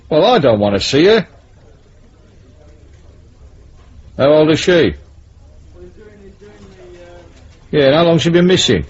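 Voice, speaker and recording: An actor playing a cynical, weary police sergeant in a radio drama, with a British accent and a brusque, sardonic manner. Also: male voice, a little high, a little mellow. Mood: irritated, sardonic. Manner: brusque, world-weary, sardonic, officially polite. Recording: dull, lo-fi 1970s radio recording.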